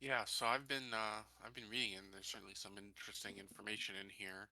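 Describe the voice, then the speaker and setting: A man playing a tabletop roleplaying game, describing what his character would do in a measured, casual way. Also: on the low side, little pitch movement, pushed and bright, no echo.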